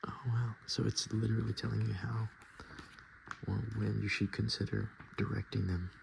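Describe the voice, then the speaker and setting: A man doing softspoken, whispered ASMR, reading and commenting informally in a breathy, unhurried way and correcting himself as he goes. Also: little pitch movement, noisy background. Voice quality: breathy whisper mixed with low, creaky soft speech. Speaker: ASMR host browsing a tabletop game book.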